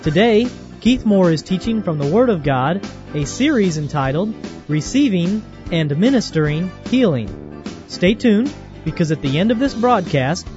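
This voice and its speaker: A male announcer reads a scripted radio program introduction with animated, varied intonation. Music plays clearly underneath, and the sound is slightly dull. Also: sits high. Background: clear music bed.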